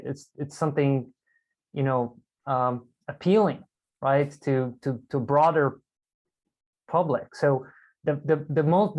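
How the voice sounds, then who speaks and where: A man's voice: a little high, a little mellow, low-quality recording. A man from Brazil, a podcast guest, telling his story in a candid, reflective and self-amused way over a video call. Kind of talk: casual storytelling conversation.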